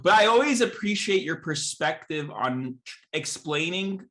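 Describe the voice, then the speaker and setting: A man's voice: high-pitched, lively intonation, a little slow, fairly bright tone. A man in his thirties hosting a podcast interview, asking friendly questions with lots of fillers and restarts. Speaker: podcast host and pastor. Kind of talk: casual interview chat.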